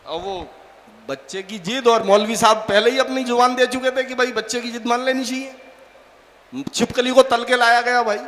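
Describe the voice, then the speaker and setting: High-pitched guy hosting on stage, telling a joke, big hall echo. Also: fairly bright tone. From Bijnor, India. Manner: storytelling with many quoted voices. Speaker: stage host of a poetry gathering. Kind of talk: stage anchoring with a told joke. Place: large auditorium. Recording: long hall reverb.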